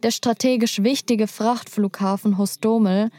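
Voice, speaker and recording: A young woman narrates a documentary in a calm, measured voice with a soft, mellow tone. She is recorded close and dry, with no room sound around her. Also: on the high side, dry recording. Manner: calm, measured, personal storytelling.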